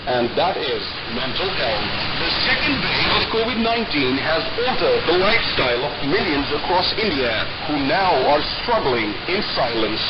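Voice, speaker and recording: A man's voice: sits high, lo-fi sound, heavy background noise. A man reading a scripted news report as a correspondent, heard on a shortwave radio broadcast.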